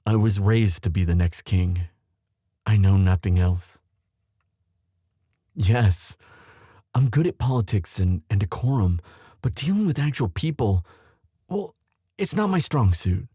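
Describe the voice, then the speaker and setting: A man playing an anxious, protective young prince in love, speaking earnestly and haltingly in a romantic roleplay audio drama. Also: lowish voice, expressive, mellow, husky, dry recording, clean studio sound. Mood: conflicted, earnest. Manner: earnest, halting, tender delivery.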